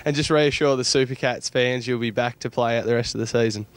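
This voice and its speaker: A man's voice: mid-range voice, fairly even intonation, brisk, a little hiss or hum. A man with an Australian accent working as a sports reporter, reading news stories and putting casual interview questions.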